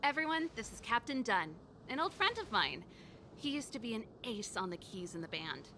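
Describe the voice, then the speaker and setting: An actress playing an easygoing, loyal rock musician in a video game, speaking casually and expressively. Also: female voice, fairly high pitch, big pitch swings, normal speed, bright, forceful tone, a little hiss or hum, heavily acted. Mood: breezy, friendly. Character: bold, easygoing adult rock-musician woman, loyal old friend.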